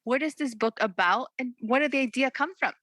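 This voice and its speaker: An upbeat woman hosts an interview with an author. Her voice is bright and slightly high, and the recording is dry with no room echo. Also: fairly quick. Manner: upbeat, enthusiastic. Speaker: interview host.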